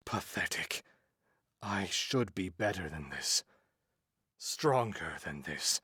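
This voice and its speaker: Guy acting a tormented young ex-soldier, visual novel, slow and husky, swings between despair and self-loathing. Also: mid-range voice, a bit bright, dry recording, clean studio sound, full character voice. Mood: bitter self-loathing. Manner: dramatic, despairing, polite but weary.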